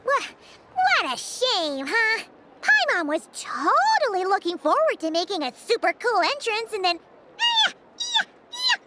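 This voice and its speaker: A woman voicing a tiny floating female fairy companion in a video game, bubbly and greedy, with a high squeaky childlike voice and a chatty, excitable manner. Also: heavy background noise, exaggerated voice. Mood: excited. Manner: excitable, chatty, swings pitch wildly.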